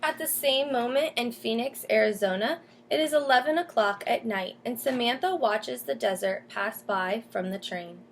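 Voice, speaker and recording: A woman teacher reading a picture book aloud to children, pausing to explain and ask questions. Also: on the high side, a little hiss or hum. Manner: explains and asks questions as if to young listeners. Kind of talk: storybook read-aloud with comments to children.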